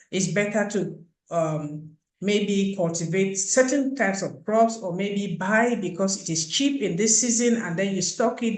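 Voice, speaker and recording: A woman explains things earnestly over a video call, as if telling a story. Her voice has a middling pitch. It rings in an echoey room. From Cameroon. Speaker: country program coordinator.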